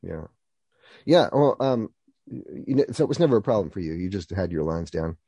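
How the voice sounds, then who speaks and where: A man hosts a radio interview in a low, mellow voice, recorded dry and close. He comes across as genial and chuckles often. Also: lively intonation, brisk, breathy edge, no room sound. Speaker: radio host.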